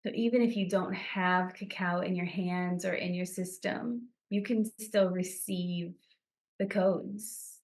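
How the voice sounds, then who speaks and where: A woman podcast guest speaking in a soothing, deliberate way, as if guiding listeners through a meditative blessing. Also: lowish voice, fairly even intonation, a little mellow. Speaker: podcast guest, cacao business founder.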